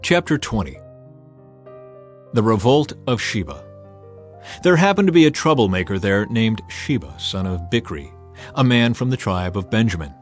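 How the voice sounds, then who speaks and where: A man's voice: fairly low pitch, very animated, moderate pace, pauses often, faint music behind. A man narrating scripture as a scripted, dramatized audio reading. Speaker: Bible narrator.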